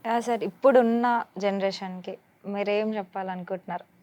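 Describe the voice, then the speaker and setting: A woman's voice: medium pitch, fairly even intonation, moderate pace, a little mellow. A woman interviewing in a conversational, respectful and agreeable way, mixing English words into her speech.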